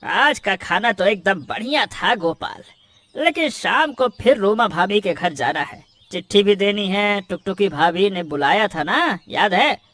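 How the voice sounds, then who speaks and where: A man's voice: high-pitched, expressive, measured pace, pushed and bright, dry recording, studio quality, full character voice. A man voicing a chatty, teasing village man in a cartoon story. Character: teasing village man, a postman's errand companion. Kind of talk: Hindi cartoon story voice acting.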